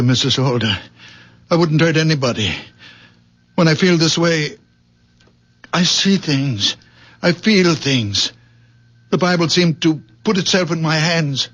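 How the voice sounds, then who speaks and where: A man acting in a radio drama as a gentle, troubled old village healer, speaking haltingly, pleading and repeating himself. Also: on the high side. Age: older. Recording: dull, muffled old radio recording.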